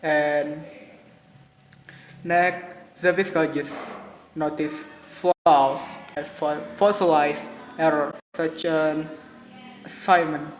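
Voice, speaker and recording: A young man with an Indonesian accent giving a halting class presentation on pronunciation, repeating each word several times. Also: on the high side, steady tone, a little hiss or hum. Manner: halting, repeats words for practice. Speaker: student presenter. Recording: roomy, reverberant with faint ambience.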